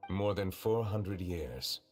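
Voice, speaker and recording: Solemn, very deep ancient judge. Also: male voice, lively intonation, darkish tone, soft background music. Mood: solemn, humble. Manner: formal, measured, grave. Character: formal, solemn, ancient chief justice, male, humble and measured. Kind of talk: video game voice acting.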